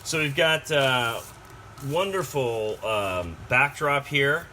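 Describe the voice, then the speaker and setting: Man chatting casually over clearly noisy audio. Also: medium pitch, expressive, slow pace, sharp tone. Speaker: toy collector presenting an unboxing. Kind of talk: casual unboxing chat. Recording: noisy homemade video recording.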